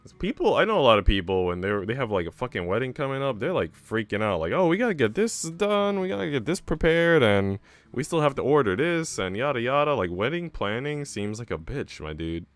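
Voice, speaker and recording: A man's voice: mid-range voice, big pitch swings, brisk, no echo, faint music behind. A young man chatting casually on a live stream in a slangy, rambling way. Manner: slangy, rambling, sprinkled with "like" and "bro". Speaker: streamer reading a visual novel, a teacher.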